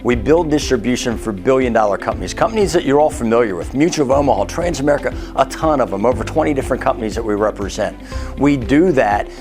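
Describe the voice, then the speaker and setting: A man giving an upbeat, persuasive pitch as an insurance agency leader. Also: lively intonation, fast talker. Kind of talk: promotional testimonial. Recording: background music.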